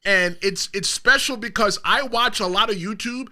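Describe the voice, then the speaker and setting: Podcast host, man, middle-aged, high voice with a very bright edge, rambling casual storyteller. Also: normal speed. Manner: rambling storyteller, laughs loudly.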